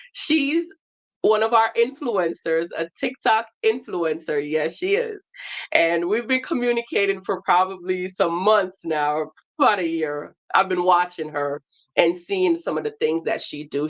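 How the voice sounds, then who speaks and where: A woman hosting a livestream, introducing a guest and talking directly to her viewers in an encouraging way. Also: fairly low pitch. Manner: warm encouraging introductions, addresses viewers as guys. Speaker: livestream host. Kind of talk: casual livestream chat.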